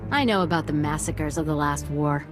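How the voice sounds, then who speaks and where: An actress playing an angry, unyielding captured ship officer in a video game, bitter and forceful. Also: female voice, sits low, fairly quick, loud music behind the voice, lightly acted. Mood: bitter defiance. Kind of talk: dramatic video game acting.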